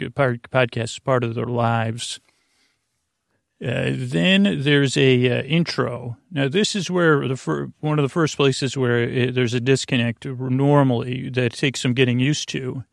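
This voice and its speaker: A man with a creaky, fry-laden voice delivers a bedtime monologue meant to lull. His phrasing wanders and stalls, looping back through false starts and asides in a soothing way. The sound is dry, with no room around it. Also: mid-range voice, dry recording. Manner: meandering, halting, digressive, with repeated false starts; soothing, lulling. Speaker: podcast host. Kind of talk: rambling bedtime podcast monologue to lull listeners to sleep.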